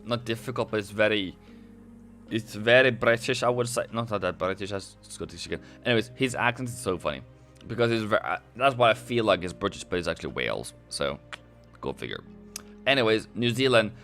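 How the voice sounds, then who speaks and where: A low-voiced man from Norway chats loosely on a live trading stream, with a lively, swinging pitch. He rambles, laughs often and keeps restarting his sentences. Faint music plays in the background. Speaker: forex trader on a live stream. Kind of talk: casual live-stream chat.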